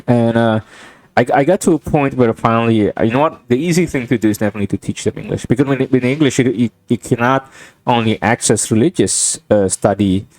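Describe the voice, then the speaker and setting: A man's voice: medium pitch, darkish tone, no echo, soft background music. A young man from Indonesia, a native Indonesian speaker, musing aloud in a rambling, reflective monologue while playing a game, often stopping and restarting his sentences. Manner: thoughtful, halting, with many restarts and fillers. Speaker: gaming vlogger.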